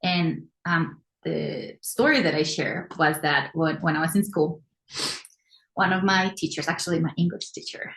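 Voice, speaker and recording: Woman, Mexican Spanish accent, native Spanish speaker, therapist, reflective, lowish voice, echoey room. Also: a bit bright. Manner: reflective, with frequent "um" pauses. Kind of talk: panel discussion. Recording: roomy echo.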